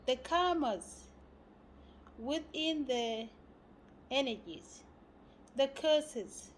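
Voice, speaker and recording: A woman from South Sudan giving a spiritual talk, often pausing and searching for words. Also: high-pitched, slight background noise. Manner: halting, searching for words with many fillers. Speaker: spiritual teacher.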